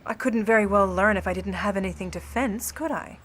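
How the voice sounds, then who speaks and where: A woman's voice: mid-range voice, fairly quick, a bit bright, natural voice. A woman as a cool, haughty scheming starlet. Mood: smugly composed. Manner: haughty, controlled, cutting formal delivery. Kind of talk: old-time noir radio play acting.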